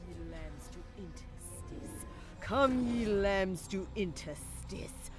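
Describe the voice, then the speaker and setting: An actress playing an eerie chaos witch, chanting dark incantations in a dramatic audio drama manner. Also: medium pitch, expressive, slow pace, over music. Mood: chanting, ominous.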